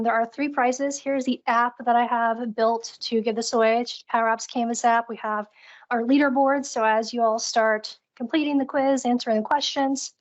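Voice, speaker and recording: The recording is a slightly dull, lo-fi online call. A woman with a highish voice co-hosts and presents a live demo. She talks casually, in an upbeat and chatty manner.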